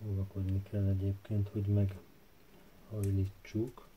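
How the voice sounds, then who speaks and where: Guy doing a casual diy tutorial, lowish voice, hesitant thinking out loud, bit of background noise and clicks. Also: monotone, dull audio. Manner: hesitant, halting, thinking aloud while working. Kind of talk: casual hands-on tutorial narration. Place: indoor workbench.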